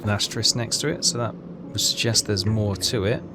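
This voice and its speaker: A man giving casual game walkthrough commentary, reading text aloud and haltingly thinking out loud. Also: on the low side, background noise. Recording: clear music behind the voice. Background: game music.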